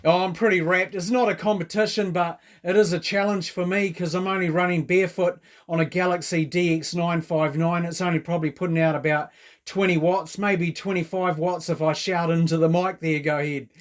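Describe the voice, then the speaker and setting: Man, New Zealand accent, slightly higher-pitched voice, chatty, informal, casual radio operator talk. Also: fairly even intonation, a little fast.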